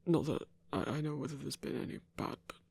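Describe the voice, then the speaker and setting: A performer playing a gentle, awkward male werewolf hunter in an intimate audio roleplay, speaking haltingly and apologetically with earnest remorse. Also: medium pitch, vocal fry, slightly rough. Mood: reassuring, hesitant. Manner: hesitant, halting, apologetic, stammering.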